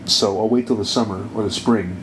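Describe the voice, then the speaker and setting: Fast, talkative speaker explaining things with confidence, casual chat, roomy and noisy recording. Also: medium pitch, fairly even intonation. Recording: echoey room with background noise.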